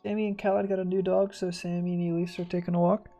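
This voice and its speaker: Creaky-voiced woman playing a video game. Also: fairly low pitch, level tone, fast talker, dark tone, soft background music. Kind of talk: casual gameplay commentary, reading game text aloud.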